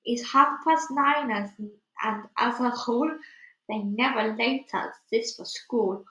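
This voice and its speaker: A woman's voice: a little high, takes it slowly, reverberant, rough recording. A woman voicing a disgusting old witch leader, commanding and gloating in a children's storybook. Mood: gleeful, chanting. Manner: shouting, commanding, gloating, broken grammar.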